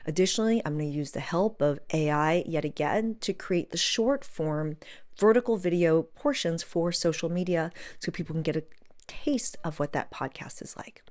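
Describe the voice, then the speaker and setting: Lower-pitched woman, casual podcast host. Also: moderate pace, darkish tone. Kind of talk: casual podcast chat, addressing listeners.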